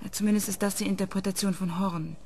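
A guarded journalist. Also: female voice, medium pitch, fairly even intonation, quick pace, a little mellow, slightly rough. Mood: matter-of-fact. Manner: questioning, matter-of-fact. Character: young female journalist, curious and guarded. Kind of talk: radio drama acting.

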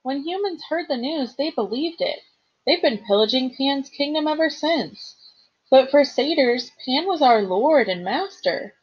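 A woman's voice: sits high, slightly rough, dull audio, pitched above the performer's own voice, exaggerated voice. A woman voicing a plaintive young satyr. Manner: earnest, plaintive, emphatic. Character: earnest young male satyr, gentle and worried.

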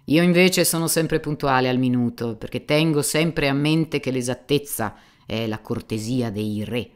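A man reading an audiobook, voicing a boastful aging buffoon who rambles loudly and theatrically about himself. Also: medium pitch, normal speed, smooth, close to an everyday voice. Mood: fussy. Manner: loud, theatrical, rambling storytelling. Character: boastful aging male buffoon, self-mocking and garrulous.

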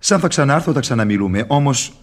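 An actor playing a sly, mocking, falsely humble devil in radio theatre, with husky, ironic, wheedling verse delivery. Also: male voice, medium pitch, expressive, lightly acted.

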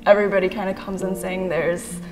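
A woman's voice: lowish voice, little pitch movement, fairly quick, over music. A young woman, a graduating student, reflecting earnestly in a casual interview. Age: young adult.

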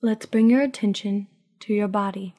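Female meditation guide: dark, relaxed tone, very even pitch, soothing and hushed, leaving long pauses. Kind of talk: guided sleep meditation, scripted narration.

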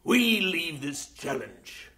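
A man's voice: a little high, sing-song, slow, noisy background. An actor playing an earnest British missionary superintendent in a radio drama, fervent and pleading when he preaches. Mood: preaching.

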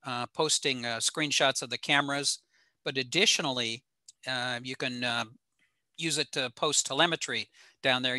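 Older man, American accent, sharp forceful bright voice, dry close sound, informal technical presenter, halting explanations. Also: medium pitch, no room sound. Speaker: presenter, ham radio operator. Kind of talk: informal slide lecture. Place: small home room indoors.

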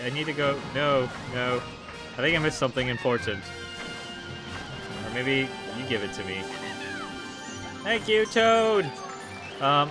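Hoarse, excitable young gamer guy. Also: mid-range voice. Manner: goofy, excitable, lots of ums and likes. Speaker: let's play gamer. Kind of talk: casual gameplay commentary. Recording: game music behind voice.

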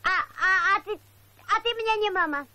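A woman's voice: moderate pace, pushed and bright, exaggerated voice. A woman voicing a stubborn, cheeky little boy donkey in a children's cartoon, with a childlike, defiant delivery. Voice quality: high childlike voice. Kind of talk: animated children's cartoon acting.

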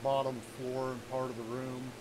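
Over a clear drone of game engine noise, a man in his thirties talks in a laid-back, slangy way. His pitch is middling and he sounds like a casual streamer playing from home. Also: completely flat delivery, normal speed. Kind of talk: casual livestream chat while playing a flight simulator. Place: home room.

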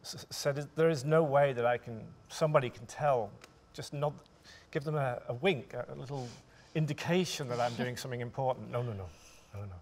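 A man in his forties with a noticeably gravelly voice of medium pitch speaks as a writer on a stage panel. He tells his account in measured, thoughtful phrases, often halting as he goes. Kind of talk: panel discussion, storytelling. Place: theatre stage, indoors.

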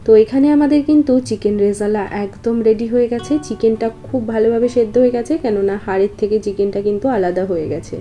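A woman's voice: mid-range voice, fast, hardly any pauses, mellow. A woman narrating a recipe step by step as a cooking host, in an instructional, explanatory way. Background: guitar background music.

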